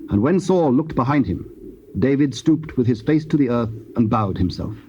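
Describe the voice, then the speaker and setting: A man narrating dramatized scripture in a formal, solemn and measured storytelling style. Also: mid-range voice, expressive, dark tone. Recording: muffled, hissy old cassette tape.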